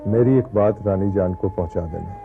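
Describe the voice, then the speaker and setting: A man's voice: low-pitched, brisk, creaky, loud music behind the voice. A man playing a defiant, commanding, street-wise cart driver in an Urdu television film, speaking with deliberation. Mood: defiant resolve. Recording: muffled, phone-like film sound.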